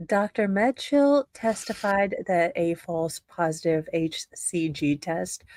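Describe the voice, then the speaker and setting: A woman's voice: lowish voice, takes it slowly, darkish tone, no echo. A woman reading a document aloud with sardonic, casual commentary. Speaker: co-reader in a dramatic reading stream.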